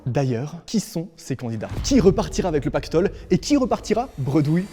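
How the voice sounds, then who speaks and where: A man's voice: a little high, sing-song, a little fast, mellow, slight background noise. A man hosting a game show, announcing the rules in a scripted, dramatic way. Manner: dramatic, suspenseful delivery. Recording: long hall reverb. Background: music.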